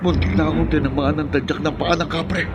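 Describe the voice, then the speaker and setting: A man's voice: a little high, fairly even intonation, brisk, very noisy, lightly acted. A man playing a brave, defiant young man in a horror radio drama, speaking with dramatic emphasis.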